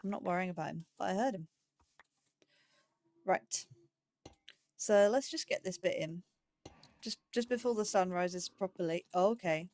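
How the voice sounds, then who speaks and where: A woman hosting a slow game series, chatting to herself as she builds, with phrasing and startled yelps when danger appears. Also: fairly low pitch, darkish tone, a little hiss or hum. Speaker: let's play host. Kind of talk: casual gameplay commentary.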